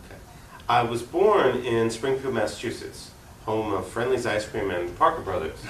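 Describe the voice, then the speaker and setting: A man from Long Island, New York, giving conversational, explanatory interview answers with halting pauses. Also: mid-range voice, animated. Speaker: interview guest, political activist. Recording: roomy, distant mic, faint noise.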